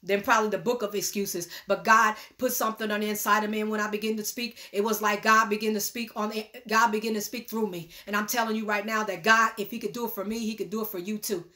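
A woman's voice: medium pitch, steady tone, quick pace, hardly any pauses, pushed and bright. A middle-aged woman preaching a prophetic word to a phone camera in a small room, earnest and repetitive, often restarting her phrases. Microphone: phone camera at arm's length.

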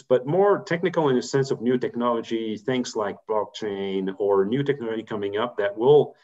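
Belgian man on a muffled video call. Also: lowish voice. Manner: thoughtful, many fillers and restarts. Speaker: corporate treasurer, guest. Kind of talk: career advice interview. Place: home room, indoors.

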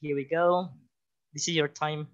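A man's voice: fairly high pitch, flat delivery, unhurried, muffled recording. A young man moderating a webinar, introducing the speaker in an upbeat, announcing way into a handheld mic on a video call. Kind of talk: event introduction.

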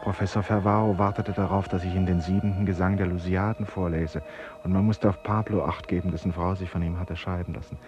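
An actor playing a brooding, resolute man confined in an asylum in a German radio play, speaking in a deliberate, introspective way. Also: low-pitched, level tone, muffled recording, lightly acted. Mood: troubled, reflective. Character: brooding, resolute male traveller confined in an asylum, torn by guilt. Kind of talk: 1950s-70s German radio play, monologue and dialogue.

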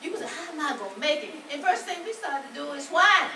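A woman's voice: sits high, very animated, quick pace, crowd noise. A woman from the congregation sharing a personal testimony in a chatty, storytelling way. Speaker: congregation member. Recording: echoey room with noise from far off.

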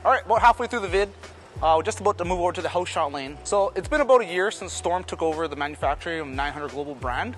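A fairly high-pitched man in his thirties talks through each shot in a relaxed, casual way. He is in a large indoor bowling alley, picked up by a camera at a middle distance. Clear music plays behind him. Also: fast. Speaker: bowling ball reviewer. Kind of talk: casual running commentary while bowling.

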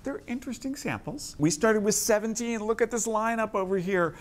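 A man's voice: sits high, brisk. A middle-aged man with an American accent, explaining conversationally as a tasting expert on a cooking show. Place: studio kitchen set with audience.

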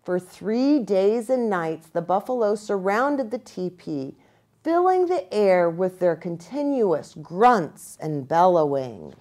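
Slow, expressive American woman reading a storybook. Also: medium pitch. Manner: expressive, deliberate. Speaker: pastor reading to children. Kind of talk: children's storybook narration. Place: small furnished room, indoors.

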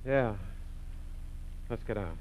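An American actor playing a smooth-talking, unruffled bridegroom and car thief in a 1940s radio drama. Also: male voice, lowish voice, animated, takes it slowly. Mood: calm, reassuring. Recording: noisy vintage radio, vehicle sounds behind.

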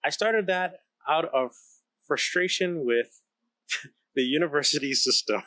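A man's voice: a little high, a little slow, pushed and bright, no room sound. Emphatic man from the Bahamas, dry close sound. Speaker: interview guest, company founder and engineer. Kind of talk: candid conversational storytelling. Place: large indoor electronics production floor, seated. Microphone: close podcast microphone on boom arm.

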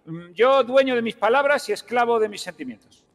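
Un hombre con acento de España interpretando en un juego de rol a un mánager fanfarrón y oportunista que insiste y repite frases con grandilocuencia. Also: male voice, very high voice, lively intonation, brisk, big-room sound. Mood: grandiose, defensive. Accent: Spanish (Spain). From Spain. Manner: insistent, grandiose, repeats phrases. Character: brash, scheming male talent manager seeking TV fame. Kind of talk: improvised online roleplay.

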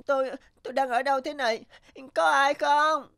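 A woman voicing an earnest, easily indignant schoolboy in a cartoon, expressive and plaintive. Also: sits high, moderate pace. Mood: puzzled, uneasy. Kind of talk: animated cartoon dialogue. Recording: background music under dialogue.

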